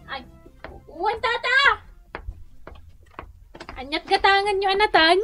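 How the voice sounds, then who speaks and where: An actress playing a meek, puzzled youngster in an Ilocano comedy radio drama, hesitant and questioning, with an Ilocano accent. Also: female voice, very high-pitched, expressive, slow, a bit bright, faint music behind, exaggerated voice.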